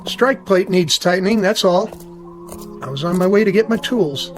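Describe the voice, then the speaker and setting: A man's voice: high-pitched, lively intonation, normal speed, music in the background. A man acting in a radio drama as a friendly school caretaker with casual, plain-spoken, clipped phrasing. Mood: easygoing.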